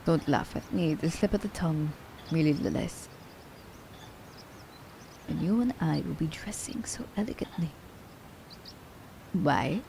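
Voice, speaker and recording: A woman acts out an immortal female assassin, sly and haughty, in an intimate ASMR drama. Her voice is lowish and mellow, with plenty of fry and a breathy edge. She speaks in a theatrical, old-fashioned formal way, teasing as she goes. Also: lively intonation, measured pace, pauses often, slight background noise, exaggerated voice. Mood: scheming, disdainful. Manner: theatrical, formal storybook diction. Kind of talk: ASMR roleplay audio drama.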